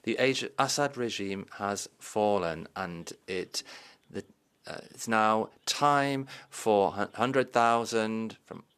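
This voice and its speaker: Low smooth voiced guy interpreting a parliament speech, forceful, lots of restarts. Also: takes it slowly.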